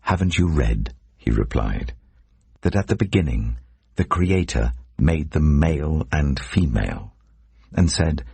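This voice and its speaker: A man reading scripture as a narrator in a solemn, measured, dramatic storytelling delivery. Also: rumbling low, dark tone, breathy edge, dry recording. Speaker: Bible narrator.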